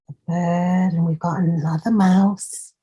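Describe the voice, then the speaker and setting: A woman reads a picture book aloud to small children at an unhurried pace. Her voice is mellow and a little husky, and she adds encouraging asides about the details as a storytime host would. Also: lowish voice, fairly even intonation. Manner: encouraging, pointing out details to young listeners.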